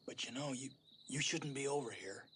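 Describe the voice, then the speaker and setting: A man voicing an animated animal character in a cartoon film. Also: medium pitch, normal speed, close to an everyday voice. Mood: hushed, friendly. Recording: very noisy film clip.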